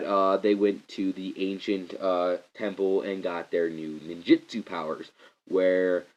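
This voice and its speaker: A young man with a stuffy, congested voice gives a rambling, excitable movie review, often restarting his sentences. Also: sits low. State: congested from a cold. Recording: slightly muffled lo-fi recording.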